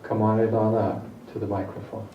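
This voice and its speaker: A man's voice: lowish voice, level tone, fairly quick. A man presiding over a council meeting, speaking in brief, courteous remarks. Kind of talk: formal public meeting remarks. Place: council chamber. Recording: distant mic, echoey chamber, dull and noisy.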